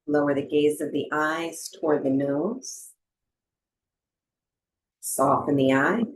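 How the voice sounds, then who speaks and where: A woman leads a guided meditation in a low, soothing voice. She speaks very slowly and gently, leaving long pauses between short instructions. Her voice carries a clear roomy echo. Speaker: yoga nidra and breathwork teacher. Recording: roomy, echoing voice recording.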